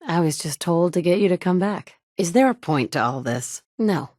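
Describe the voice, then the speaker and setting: A woman's voice: on the low side, lively intonation, normal speed, darkish tone, no echo, studio quality. An actress voicing a tough, wry woman survivor in a video game, blunt and sarcastic. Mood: terse. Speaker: player character.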